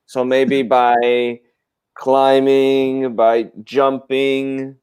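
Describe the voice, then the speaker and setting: Co-host, man; clear, resonant delivery, unhurried. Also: medium pitch, level tone, a little mellow. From Puerto Rico. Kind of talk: casual live-stream chat.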